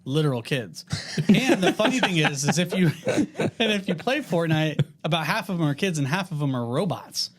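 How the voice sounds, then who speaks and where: A man co-hosting a podcast, chatting casually in a slangy, animated way. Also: fairly high pitch, moderate pace. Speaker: podcast co-host. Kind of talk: casual chat.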